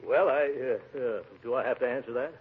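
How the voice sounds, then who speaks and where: An actor with an American accent playing a pompous, easily flustered uncle in a 1940s radio comedy. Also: male voice, medium pitch, fairly quick, natural voice. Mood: nervous, ingratiating. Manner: pompous, hemming and hawing. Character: blustering, flustered pompous uncle, prone to nervous chuckles. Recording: tinny, noisy 1940s radio recording.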